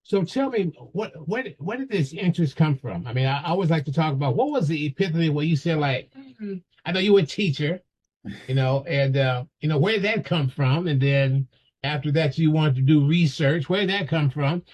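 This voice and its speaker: A man's voice: a little high, expressive, brisk, darkish tone, slightly rough. A man hosting an interview, asking questions in a loose, repetitive way. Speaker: interview host. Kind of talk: conversational interview. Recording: dull lo-fi audio.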